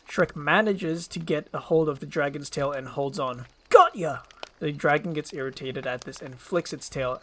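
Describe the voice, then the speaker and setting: A man's voice: medium pitch, a bit bright, a little hiss or hum. A man reading scene directions from a screenplay as a storyteller, in scripted ASMR narration.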